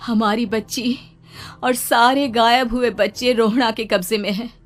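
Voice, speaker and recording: A woman voicing the gentle ghost of a dead mother in a cartoon, calmly giving directions. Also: a little high, unhurried, lightly acted.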